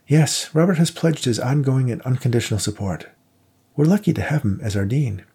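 A man's voice: medium pitch, fairly quick, mellow, slightly rough, voice pushed up. A man voicing a fussy, awkwardly polite elderly male donor with a raised voice. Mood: eager.

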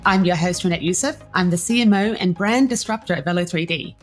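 A woman's voice: lowish voice, brisk, soft background music. A woman hosting a podcast who reads a scripted intro and then interviews her guest in a friendly, upbeat way. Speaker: podcast host.

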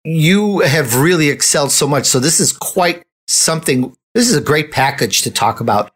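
A man's voice: on the high side, lively intonation, normal speed, a bit bright, slightly rough. A man hosting a conversational interview, speaking in an effusive, complimentary way. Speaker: interview host.

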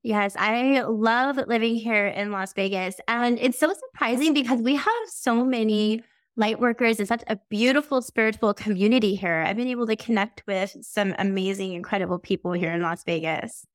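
A woman's voice: on the high side, normal speed, no room sound. Effusive woman podcast host. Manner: flowery, effusive scripted delivery. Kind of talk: podcast interview, read intro.

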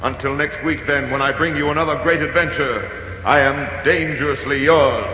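An actor voicing a bold, seductive spirit of adventure in a dramatic, declamatory 1940s radio monologue. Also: male voice, on the high side, normal speed, lightly acted. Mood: grand, beckoning. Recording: echoey, noisy, muffled 1940s radio broadcast.

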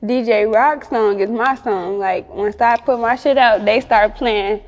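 A woman's voice: a little high, unhurried. Slangy Chicago woman in an echoey room. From Chicago, United States. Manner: slangy, frequent swearing. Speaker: rapper being interviewed. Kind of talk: casual interview chat.

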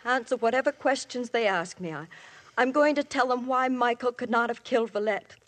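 A woman's voice: a little high, a little fast, natural voice. An actress playing a married woman in love with a priest, speaking in an intense, emotional, pleading way in a 1950s radio drama. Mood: resigned.

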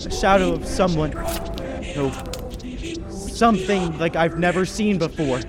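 A man playing a doomed businessman who narrates a radio horror drama in a slow, vivid, theatrical way. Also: fairly high pitch, natural voice. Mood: horrified narration. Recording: music under the voice.

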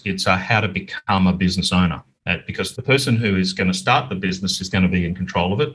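A middle-aged Australian man explaining things in a measured, conversational way as an interview guest. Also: low voice, fairly bright tone, husky. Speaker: interview guest, business owner.

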